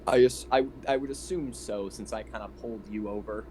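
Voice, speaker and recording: A man playing a tabletop roleplaying game, speaking casually and a little haltingly for his character. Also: on the low side, a little mellow, slight background noise.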